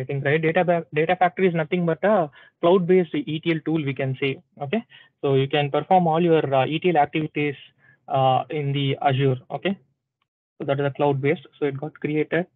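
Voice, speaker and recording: A man presenting a live software tutorial at a tech community session, explaining step by step with many "uh"s and "okay"s and checking in with "right?". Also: on the high side, fairly even intonation, a little fast, no echo. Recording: dry, thin phone-like sound.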